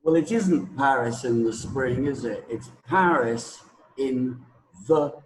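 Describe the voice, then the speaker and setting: A man's voice: medium pitch, takes it slowly, a little hiss or hum, poor audio. A man explaining a point as a teacher, in a didactic way with check questions. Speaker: English teacher. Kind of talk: explanatory teaching talk to a learner.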